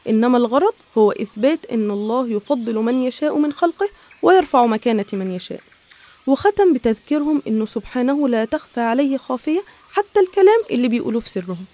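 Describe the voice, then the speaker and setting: A woman's voice: on the high side, warm tone. A woman with an Egyptian Arabic accent narrating religious stories in a conversational, explanatory way. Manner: explanatory, storytelling.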